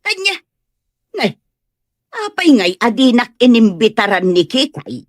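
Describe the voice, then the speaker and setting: A woman's voice: a little high, sing-song, measured pace, no room sound, clean studio sound. A woman with an Ilocano Filipino accent playing a meddlesome, insistent village gossip in an audio comedy-drama. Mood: indignant. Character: meddlesome, grudge-holding village gossip woman, pushy party guest.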